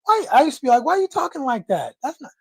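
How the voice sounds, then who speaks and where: A man's voice: extremely high pitch, sing-song, quick pace, gravelly, no echo. An American man co-hosting a livestream, chatting casually in slangy talk and reading out viewer comments. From United States. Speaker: livestream co-host. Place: indoor home livestream.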